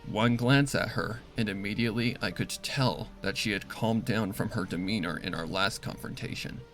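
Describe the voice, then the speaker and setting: A man reading a dark first-person story as scripted dramatic narration, serious and deliberate. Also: fairly low pitch, faint music behind. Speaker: fan fiction reader.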